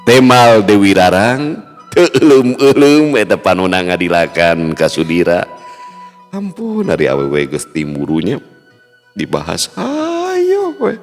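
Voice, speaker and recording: Brief: male folk storyteller, very low and gravelly, animated asides, reverb and faint music. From West Java, Indonesia. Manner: lively, chuckling asides. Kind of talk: traditional folk tale narration.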